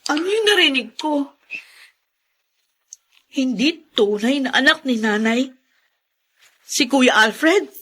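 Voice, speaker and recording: High-pitched, distraught daughter. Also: female voice, expressive, slow, pauses often, bright, forceful tone, heavily acted. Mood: shocked. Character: shocked, distraught younger sister and daughter. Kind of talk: radio drama acting.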